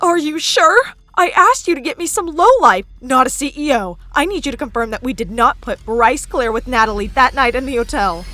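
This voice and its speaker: An actress playing a spiteful, scheming young stepsister with a mocking, haughty delivery in an audio melodrama. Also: female voice, fairly high pitch, a little fast, few pauses, bright, forceful tone, no echo. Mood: agitated. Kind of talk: melodramatic audio drama acting.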